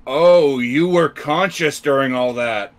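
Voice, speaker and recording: A man's voice: fairly high pitch, slow pace. A man speaking casually in character as a player in a tabletop roleplaying game.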